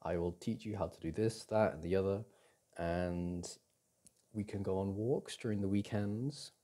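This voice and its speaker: A man playing a doting boyfriend in an intimate ASMR roleplay, speaking softly and breathily in a tender, reassuring manner. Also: deep voice, moderate pace, close to an everyday voice. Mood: tender, supportive. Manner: tender, reassuring, with sighs and soft chuckles. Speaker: audio roleplay performer.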